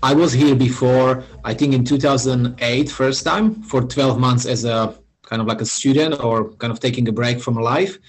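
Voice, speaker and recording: A man from Slovakia with a Slovak accent, answering interview questions in a halting way with many pauses and restarts. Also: medium pitch, fairly even intonation, slightly rough, low-quality recording. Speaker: podcast guest, founder.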